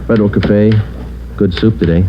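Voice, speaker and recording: An actor playing a laconic, matter-of-fact police detective in an old radio crime drama, clipped and unemotional. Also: male voice, lowish voice, fairly even intonation, moderate pace, a little hiss or hum, lightly acted. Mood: casual, dry. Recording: dull old radio recording, background music.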